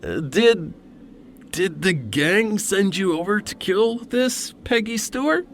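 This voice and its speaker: A man's voice: high voice, expressive, slow pace, fairly bright tone, a little hiss or hum, exaggerated voice. A man playing a cautious, coaxing police sergeant in an old-time radio crime drama. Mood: wary, placating. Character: wary male police sergeant placating an armed killer.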